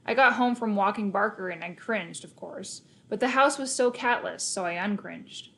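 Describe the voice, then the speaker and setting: Female storybook reader, mid-range voice, expressive first-person delivery for a children's novel. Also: medium pitch, moderate pace, creaky.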